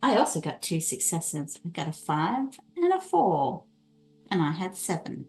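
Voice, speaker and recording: Female tabletop player, slightly low voice, casual conversational delivery. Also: sing-song, measured pace, darkish tone. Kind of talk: casual in-game chat.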